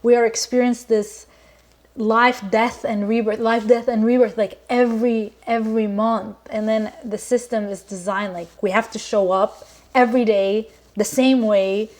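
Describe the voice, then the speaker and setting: A woman's voice: fairly high pitch, steady tone, moderate pace, darkish tone. A woman from Lebanon talking as a podcast guest in an earnest, reflective way, with many fillers and restarts. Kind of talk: casual conversation.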